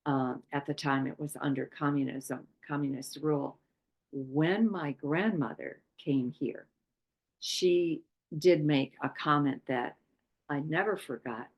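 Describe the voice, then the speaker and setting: Slow, low-voiced woman teaching a workshop. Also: dull audio. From Poland. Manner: slow, halting, with many pauses and fillers. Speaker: chakra workshop presenter. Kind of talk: unscripted spiritual talk.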